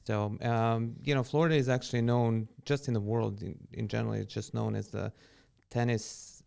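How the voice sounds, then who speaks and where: A man in his forties from Serbia, a podcast guest and real estate agent talking in a relaxed, unhurried way with frequent fillers. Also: medium pitch, creaky. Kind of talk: casual interview conversation.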